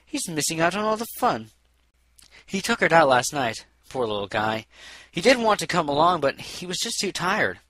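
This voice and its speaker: A man's voice: fairly high pitch, sing-song, moderate pace, fairly bright tone, no room sound, pitched above the performer's own voice. A man voicing a studious, friendly young female unicorn who is easily flustered and earnest. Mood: relaxed, sheepish.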